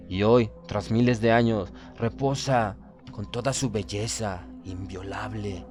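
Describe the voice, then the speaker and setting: A man narrating a literary horror audiobook in a solemn, measured, formal manner. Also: on the low side. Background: background music.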